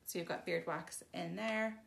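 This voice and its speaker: A woman's voice: fairly low pitch, steady tone, moderate pace, gravelly, a bit bright. A woman giving a chatty, casual demonstration of bathroom products, explaining each one plainly with frequent ums. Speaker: shop presenter showing products.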